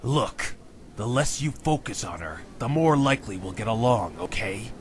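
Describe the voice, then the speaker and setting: A man's voice: medium pitch, lively intonation, normal speed, slight background noise, close to an everyday voice. A man playing a curt, guarded team member in an audio drama, speaking tersely.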